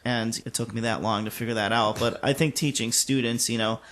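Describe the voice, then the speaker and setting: A man's voice: mid-range voice, steady tone, brisk, fairly bright tone. A man in his late thirties co-hosting a podcast, talking casually in a candid, self-deprecating way. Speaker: podcast co-host, singer and music teacher.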